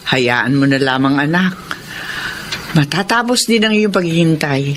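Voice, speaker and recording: A woman's voice: low voice, measured pace, slight background noise. A woman playing a doting middle-aged Filipino mother, eager for grandchildren, in a radio drama. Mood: coaxing, advising.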